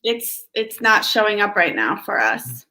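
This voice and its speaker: A woman's voice: medium pitch, big pitch swings, a little slow, bright, forceful tone, poor audio. A woman co-host chatting casually on a video call with brief, encouraging interjections. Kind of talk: casual chat.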